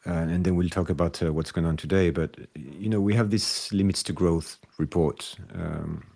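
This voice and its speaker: A man with a low voice hosts a podcast interview. He talks casually and off the cuff, feeling his way through each question in halting phrases. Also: steady tone, vocal fry, a little mellow.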